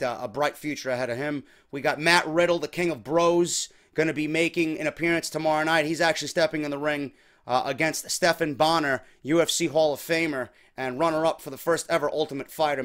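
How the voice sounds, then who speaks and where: Chatty young man, slightly worn voice. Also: a little high. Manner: energetic, chatty, peppers speech with "man". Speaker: podcast host. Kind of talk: casual wrestling talk. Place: small home room. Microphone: desk mic on boom arm.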